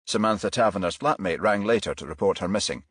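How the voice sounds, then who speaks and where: A man voicing a businesslike senior police chief inspector with a measured, official manner in an audiobook. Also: fairly low pitch, fairly bright tone, husky, dry recording, clean studio sound. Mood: grave, businesslike. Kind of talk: audiobook character voice.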